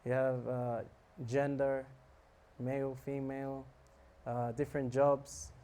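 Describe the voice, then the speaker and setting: A man giving a relaxed, joking talk on scripture to a live audience, often asking them questions. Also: medium pitch, slow pace, slight background noise. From South Africa. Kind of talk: casual sermon to a live audience.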